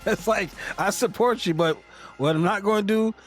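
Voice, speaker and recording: A man's voice: sits high, normal speed, soft background music. A man co-hosting a podcast in casual chat, laid-back and full of slang, laughing often. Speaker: podcast co-host.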